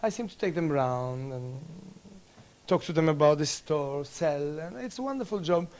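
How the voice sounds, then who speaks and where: A cheerful, energetic actor from Turkey, talking casually in an on-set interview. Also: male voice, on the high side, moderate pace. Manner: cheerful, energetic, self-assured. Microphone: camera microphone.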